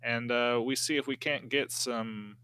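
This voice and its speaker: Man, mid-pitched, bright forceful voice, folksy deliberate roleplay, hesitant phrasing. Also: steady tone, clear, resonant tone. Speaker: player in a tabletop game. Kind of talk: in-character speech in a tabletop game.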